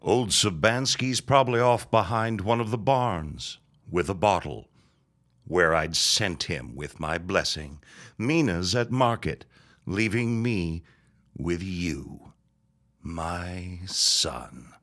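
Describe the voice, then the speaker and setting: A man's voice: deep voice, lively intonation, slow, a bit bright, heavily acted. A man playing a bitter, vengeful old dying reverend in a period audio drama, speaking in a grandiose, scornful way. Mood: bitter, weary. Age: older. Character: bitter, vengeful, near-death old reverend and landowner father.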